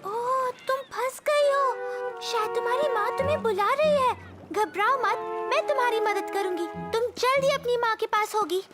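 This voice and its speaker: A performer voicing an eager, kind-hearted little girl in a cartoon dub, excitable and earnest. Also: very high-pitched, moderate pace. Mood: caring. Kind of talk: animated cartoon dub acting. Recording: loud music behind.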